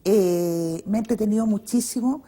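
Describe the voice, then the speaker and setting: A woman's voice: mid-range voice, normal speed, dark tone, slightly rough. A woman hosting a television interview in an informal, conversational way.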